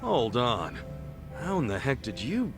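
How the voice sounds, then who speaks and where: An actor playing an earnest, excitable, loyal friend in a video game, with an eager, informal way of talking. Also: male voice, medium pitch, unhurried, faint music behind. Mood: surprised, curious. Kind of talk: dramatic game cutscene acting.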